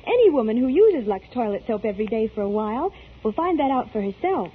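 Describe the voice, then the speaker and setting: Chatty young female, high and animated, 1940s radio commercial, tinny band. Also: quick pace, breathy edge, heavily acted. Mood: persuasive. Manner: perky, scripted. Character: bright, chatty young woman in soap commercial.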